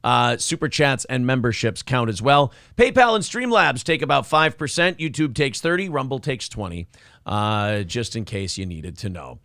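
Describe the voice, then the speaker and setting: A man's voice: mid-range voice, expressive, normal speed, gravelly, fairly bright tone, no room sound, studio quality. A man co-hosting a morning show with casual, profane comedic banter about the news. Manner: profane, joking.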